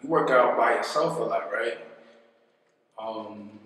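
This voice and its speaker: 男主持人，声音在房间里回荡，离麦克风较远. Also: male voice, medium pitch, slow pace, fairly bright tone, far from the mic. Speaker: interviewer, show host. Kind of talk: casual documentary-style basketball interview. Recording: echoey room from afar, background noise.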